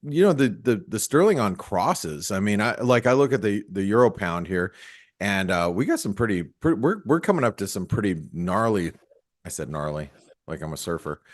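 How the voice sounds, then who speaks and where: A man co-hosting a webinar, talking casually about markets in a relaxed, rambling way with many fillers. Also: fairly low pitch, normal speed. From Taiwan-born American. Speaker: webinar co-host.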